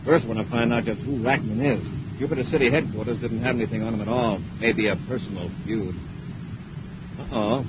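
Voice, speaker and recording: An actor playing a heroic space patrol commander, cool, crisp and authoritative, in 1950s radio serial style. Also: male voice, brisk, lightly acted. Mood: alert. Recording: muffled, very noisy 1950s radio broadcast, engine rumble.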